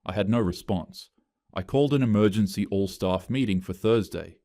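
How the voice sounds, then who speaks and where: A man narrating a scripted first-person story with an even, flat delivery. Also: on the low side, moderate pace, dry recording, clean studio sound.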